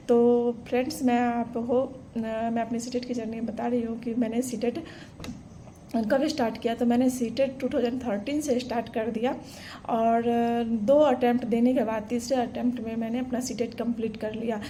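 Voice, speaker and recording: A woman, talking earnestly and encouragingly to camera. Her voice is mellow and fairly high, yet it stays flat and even. A faint room hum sits behind her. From Bihar, India. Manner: earnest, encouraging, many fillers and restarts. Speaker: vlogger. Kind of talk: casual personal story to camera.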